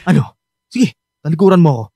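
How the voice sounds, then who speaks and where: A pushy, jealous ex-boyfriend. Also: male voice, on the high side, expressive, fast, gravelly, dark tone, slight background noise. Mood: menacing, mocking. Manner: taunting, insistent. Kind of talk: Filipino radio drama acting. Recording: music behind the voice.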